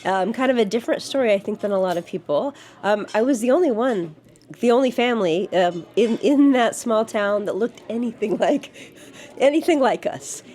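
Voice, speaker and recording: A woman's voice: on the high side, moderate pace, darkish tone. Woman, 50s, US-raised, earnest candidate in interview; restarts, fillers. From United States, grew up in Georgia. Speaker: city council candidate. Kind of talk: interview answers.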